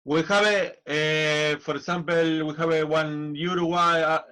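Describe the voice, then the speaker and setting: A man, a football manager and former player, chatting as a podcast guest in halting non-native English with frequent restarts. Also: on the high side, steady tone, sharp tone. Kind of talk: casual interview chat.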